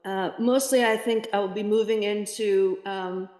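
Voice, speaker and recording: A woman's voice: medium pitch, steady tone, a little mellow. American woman in an echoey room. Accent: standard American. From New York area. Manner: halting, many fillers. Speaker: freelance ESL teacher and editor. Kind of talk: casual conversation with a client. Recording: echoey room with long reverb.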